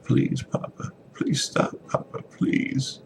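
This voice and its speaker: A man voicing a tormented, helpless son in a dramatic story reading, pleading in a breathy whimper. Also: slow, over music, close to an everyday voice. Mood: desperate pleading.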